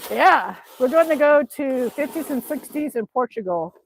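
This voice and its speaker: A woman's voice: high-pitched, animated, moderate pace, slight background noise, rough recording. A middle-aged woman from Alaska, chatting casually on a livestream outdoors in the snow and reading out viewer comments. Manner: chatty, reads out chat messages and answers them. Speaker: livestream host.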